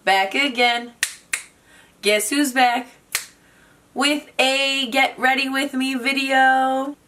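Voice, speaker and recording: A young woman with a raspy voice and a slight cough, chatting casually to camera as a beauty vlogger, joking about herself. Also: sits high, fairly even intonation, slow pace, sharp tone, slight background noise. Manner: chatty, self-mocking. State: has a cough.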